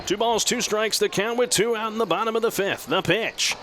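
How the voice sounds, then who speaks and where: A man doing live radio baseball play-by-play in measured, descriptive, clipped phrases. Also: sits high, crowd noise. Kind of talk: live radio sports commentary. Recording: clear steady outdoor ballpark noise.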